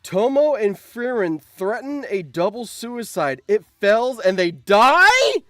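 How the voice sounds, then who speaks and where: A man live-streaming as host, reading out game results with animated, excitable commentary and surprised exclamations. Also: sits high, takes it slowly, no echo, clean studio sound.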